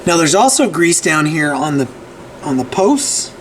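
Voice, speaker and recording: A man with a slightly high voice walks through a repair at his workbench, loose and conversational, often stopping to ask "right?" and restarting his sentences. A faint hum of room noise sits under his voice. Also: sing-song, a little slow. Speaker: repair channel host. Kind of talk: casual repair tutorial.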